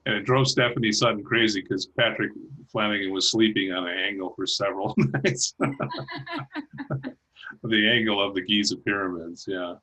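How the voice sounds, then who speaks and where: A man speaking as a guest over a video call, talking haltingly with many restarts and light laughs. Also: lowish voice, bright, forceful tone, rough recording. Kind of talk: casual chat.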